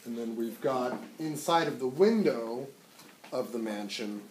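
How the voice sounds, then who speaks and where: A man's voice: mid-range voice, animated, unhurried, a little mellow. A man presenting a picture book to young listeners as a teacher would, informally explaining and prompting them. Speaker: teacher reading aloud to children. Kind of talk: informal picture-book read-aloud with commentary. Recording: roomy echo, distant mic, faint noise.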